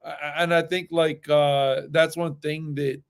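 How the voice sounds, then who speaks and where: A man's voice: a little high, little pitch movement, unhurried, clean tone. A man in a casual discussion show who speaks earnestly, repeats phrases and keeps checking in with "right?". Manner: earnest, repeats himself, many "right?" tags.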